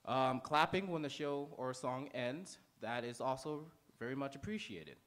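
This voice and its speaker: A man hosting a live theater pre-show, addressing the audience from the stage in an informal, halting way. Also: mid-range voice, lively intonation, fairly bright tone.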